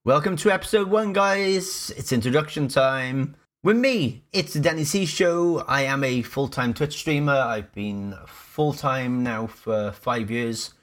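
A man's voice: medium pitch, a little slow, hardly any pauses, fairly bright tone. A Welsh man hosting a casual gaming podcast, talking in an upbeat, announcer-like way. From Wales. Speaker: podcast host.

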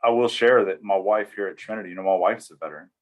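Deep-voiced man telling his story. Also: highs cut off. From East Tennessee, US. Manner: plainspoken, with frequent pauses and fillers. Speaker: interview guest, church security veteran. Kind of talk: casual storytelling interview answers.

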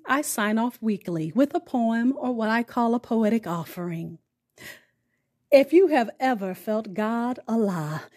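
Lady hosting a storytelling show, voice a bit high, speaks expressive and deliberate. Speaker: podcast host. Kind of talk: hosting and poetic sign-off.

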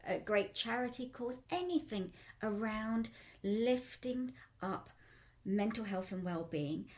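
A woman hosting a radio talk show as a life coach, upbeat and chatty, her voice still croaky. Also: medium pitch, a little mellow.

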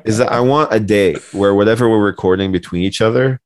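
Male podcast co-host, lowish pitch, informal conversational delivery. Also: fast, no room sound. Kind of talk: casual chat.

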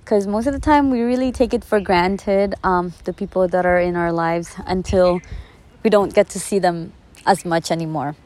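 A woman's voice: fairly low pitch, normal speed, a little mellow. A woman in her twenties from the Philippines, speaking in an earnest, conversational way in a reflective personal podcast monologue. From the Philippines, later the United States. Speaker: podcast host.

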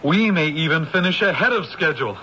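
An actor playing an eager, fast-talking film producer who hems and haws, in a radio drama. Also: male voice, fairly high pitch, animated, sharp tone. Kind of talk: 1970s radio drama acting. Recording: dull old radio recording with hiss.

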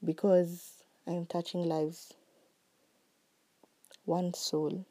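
A woman hosting her own podcast, talking slowly and earnestly about what she is grateful for, her voice still recovering from being sick. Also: on the low side, little pitch movement, slightly rough. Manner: slow, heartfelt and earnest, with soft trailing phrases. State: recovering voice after illness. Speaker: podcast host. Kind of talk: reflective solo podcast monologue.

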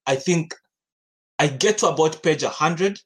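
A man's voice: a little high, measured pace, breathy edge. Young man from Uganda, podcast guest, talks in loose rambling stretches with restarts and laughs. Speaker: studio co-founder guest. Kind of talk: casual podcast chat.

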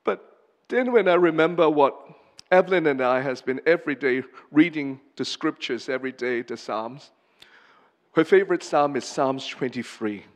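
Earnest halting pastor in a reverberant hall. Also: male voice, a little high, lively intonation. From Hong Kong. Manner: earnest and halting, with many pauses and restarts. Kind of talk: personal testimony sermon. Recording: long reverb of a large hall.

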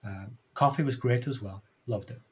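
Chatty, jovial man with a mid-pitched voice. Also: normal speed, a little mellow. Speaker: interviewer, co-host. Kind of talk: casual chat.

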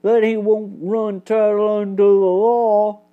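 A woman's voice: mid-range voice, level tone, slow, narrow, tinny audio, lightly acted. A woman voicing a frightened, humble male mouse carpenter in a storybook, pleading in folksy working-class speech. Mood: pleading, confessing.

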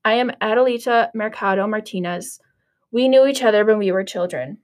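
A woman's voice: medium pitch, fairly quick, a little mellow, close to an everyday voice. A woman reading aloud as a shy, modest young orphan woman. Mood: humble.

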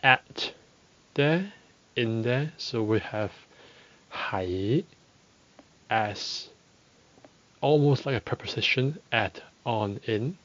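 A man teaching a podcast language lesson, speaking slowly and deliberately and repeating phrases for learners. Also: mid-range voice, expressive, a bit bright, lo-fi sound.

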